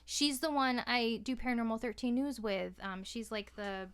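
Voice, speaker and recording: An American woman from Maine talking in an informal, animated, slangy way as a podcaster. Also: on the high side, moderate pace, smooth. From Maine, USA. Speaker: podcast guest, podcaster and voice actor. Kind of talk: casual interview chat.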